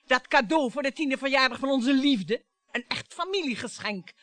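Radio play: taunting, unstable wife, mocking and theatrical, highly animated pitch, forceful tone, dry studio sound. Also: female voice, moderate pace, no echo. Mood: defiant, heated. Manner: dramatic, mocking, volatile monologues. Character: embittered, taunting, theatrical wife on the brink of violence.

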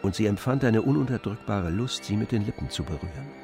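A man narrating a literary radio drama in a measured, composed, knowing manner. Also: lowish voice, expressive, music in the background. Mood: composed, intimate.

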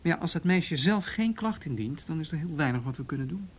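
An actor playing a patient, tactful police detective in a radio play, asking questions in a measured, procedural and hesitant way. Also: male voice, a little high, animated, breathy edge. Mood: businesslike, patient. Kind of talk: Dutch radio drama dialogue.

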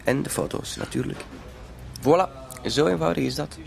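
A man's voice: a little high, moderate pace, vocal fry. A man playing a self-assured blackmailer in a radio drama, with a mock-polite, insinuating way of talking. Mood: cool, threatening.

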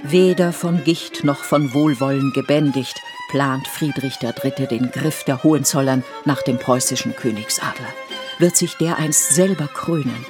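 A woman narrating a scripted literary radio play in measured, poetic storytelling. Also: extremely low pitch. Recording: studio radio play with music underneath.

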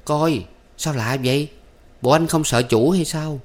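A man's voice: on the high side, measured pace, pitched above the performer's own voice, natural voice. A man voicing a pert, teasing young farm servant woman in an audiobook, sassy and scolding, with a southern Vietnamese accent. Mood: exasperated.

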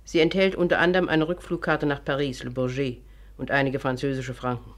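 An actress playing a brisk, obedient woman underling in a 1950s radio thriller, giving clipped, businesslike reports. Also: very deep voice, little pitch movement. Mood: dutiful, reporting. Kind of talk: 1950s German radio play acting.

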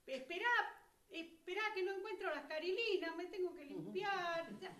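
Woman acting very high-pitched flustered Argentine friend. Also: moderate pace, fairly bright tone, slight background noise. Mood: anxious. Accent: Rioplatense Argentine. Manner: flustered, repeating herself. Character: flustered, scatterbrained woman lost in the woods. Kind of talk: amateur radio play acting.

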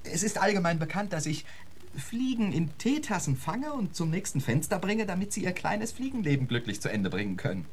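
A man's voice: sits high, sing-song, fairly quick, slight background noise. A man playing a genteel, theatrical lecturer in a radio drama, protesting politely in flowery, mannered phrases. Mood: affronted, theatrical. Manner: flowery, mannered, eager to persuade. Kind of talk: German radio drama acting.

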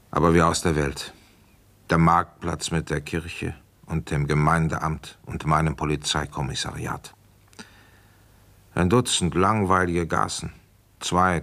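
A low-voiced, middle-aged police commissioner in a radio drama. He is world-weary and sardonic, grumbling with gruff resignation about his small-town post. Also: a bit bright, exaggerated voice. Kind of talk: German radio play acting and first-person narration.

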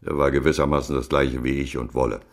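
A man playing a sardonic, knowing informant in a radio crime drama, with a wry, cryptic, unhurried way of talking. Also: a bass voice, level tone. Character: sardonic, knowing male informant with a shady past.

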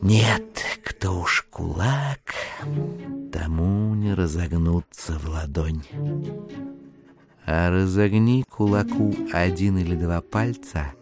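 A man's voice: sits low, takes it slowly, over music. An actor playing a brooding, cynical man in a scornful, ruminating monologue from a Russian literary audio drama. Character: brooding, cynical man muttering scornful asides about misers.

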